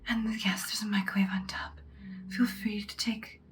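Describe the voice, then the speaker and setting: A woman playing a commanding female vampire mentor in a whispered ASMR roleplay, speaking softly, breathily and deliberately. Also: mid-range voice, level tone, lightly acted. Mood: hushed, intrigued. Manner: slow, deliberate, instructing, ominous. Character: ancient-seeming female vampire mentor, commanding, once ruthless, now good.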